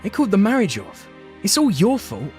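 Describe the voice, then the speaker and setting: A man's voice: high-pitched, huge pitch swings, brisk, soft background music, voice pushed up. A man voicing a stubborn, selfish young brother in a whiny, petulant way, for a manga story. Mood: angry, scornful.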